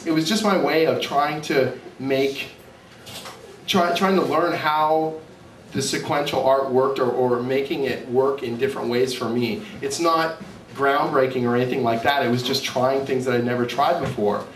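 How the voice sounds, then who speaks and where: Lower-voiced man, roomy distant sound. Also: normal speed. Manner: candid, self-deprecating, animated storytelling. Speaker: author and comic artist presenting his work. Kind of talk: informal live talk with slides. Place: indoor cafe event space. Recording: roomy, distant mic, faint background noise.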